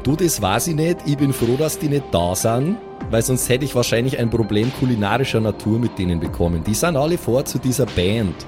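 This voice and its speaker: Guy doing a grumpy austrian camper dude, blunt and grumbling, music playing loud behind. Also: medium pitch, expressive, fairly quick, hardly any pauses, close to an everyday voice. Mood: grumbling, defensive. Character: grumpy, unfriendly Austrian festival camper Johann.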